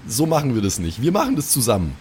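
A man's voice: mid-range voice, wildly swooping pitch, fast talker, a little mellow, faint music behind. A man improvising as a player in a tabletop role-playing game, chatting casually in colloquial slang.

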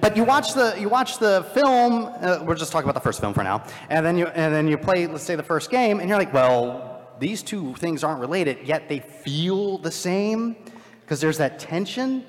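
A man's voice: a little high, big pitch swings, moderate pace. A man on a live convention panel, talking in a rambling, self-deprecating way with many fillers. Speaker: panelist, filmmaker. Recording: long hall reverb.

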